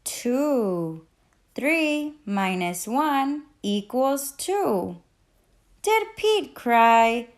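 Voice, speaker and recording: Female children's storyteller, high register, very unhurried delivery, expressive and interactive with young listeners. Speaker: teacher and children's read-aloud host. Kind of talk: children's picture book read-aloud with sung refrains.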